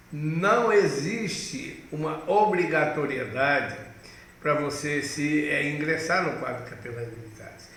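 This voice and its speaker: A middle-aged man teaching as a pastor, in a higher, bright, forceful voice. He chats straight to the viewer and acts out the questions of imagined students. The sound has a strong room echo and a faint hiss behind it. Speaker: pastor and course director. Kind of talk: online course lesson.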